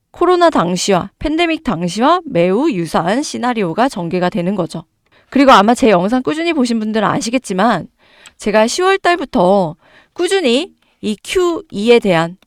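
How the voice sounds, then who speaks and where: A fairly high-voiced woman explains the market to her viewers with emphatic, rhetorical questions. Her voice is hoarse from a cold, yet her pitch swings widely. The sound is close and dry. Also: dry recording. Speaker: stock market commentator.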